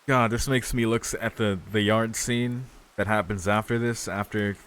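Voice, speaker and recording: A man's voice: lowish voice, steady tone, normal speed, fairly bright tone, clear, resonant tone. A man streaming a visual novel, reading its narration aloud with casual commentary, with rain sounds from the game behind him.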